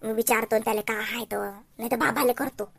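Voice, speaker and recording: A woman voicing a sharp-tongued, nagging village wife in a comedy cartoon, scolding and taunting with a Vidarbha Marathi accent. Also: a little high, steady tone, brisk, gravelly. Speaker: comic cartoon character.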